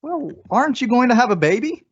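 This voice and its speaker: A man's voice: very high-pitched, big pitch swings, brisk, breathy edge, highs cut off, full character voice. A man playing a flustered, well-meaning young husband in an old radio sitcom, hesitant and given to exclaiming. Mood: surprised, defensive. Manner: hesitant, exclaiming comic delivery. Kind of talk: 1950s radio sitcom acting.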